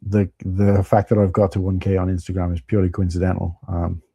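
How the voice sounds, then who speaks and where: A middle-aged man, husky from tiredness, chatting casually and rambling through a live stream with many fillers. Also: low-pitched, monotone, fairly quick, mellow, no echo, lo-fi sound.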